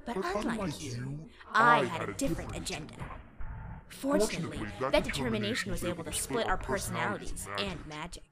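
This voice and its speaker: A woman's voice: on the low side, sing-song, over a noisy crowd. A woman playing a sinister, grandiose, contemptuous villain, the split-off half of a monster scientist, in a dramatic comic dub. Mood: gloating menace. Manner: grandiose, contemptuous, drawn-out theatrical delivery. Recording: loud music over the voice.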